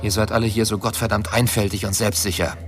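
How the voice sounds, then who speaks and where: An actor playing a rebellious, sardonic earthling with a mission in a science fiction radio drama, speaking in a smug, theatrical manner. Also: male voice, on the low side, little pitch movement, fast talker, faint music behind, close to an everyday voice. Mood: triumphant, mocking.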